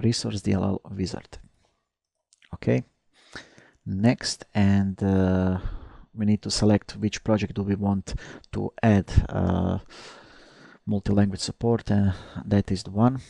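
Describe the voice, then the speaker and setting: Croatian guy doing a screencast, native croatian, lots of uhs, dark gravelly voice, dry close mic. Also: on the low side, no echo. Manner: hesitant, many "uh" fillers, step-by-step narration. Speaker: software tutorial presenter. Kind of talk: screencast tutorial.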